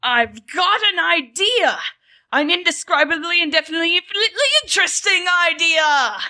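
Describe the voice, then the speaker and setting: A woman voicing a regretful, excitable skeleton in a cartoon comic dub, hesitant, apologetic and wordy. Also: extremely high pitch, normal speed, full character voice. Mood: excited. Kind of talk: fan comic dub acting.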